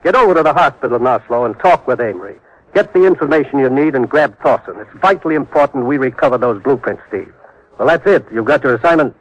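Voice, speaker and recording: An actor playing an authoritative male commissioner in a 1950s radio drama, crisp, urgent and official as he briefs an agent. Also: mid-range voice, animated, quick pace, lightly acted, rough recording. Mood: urgent briefing.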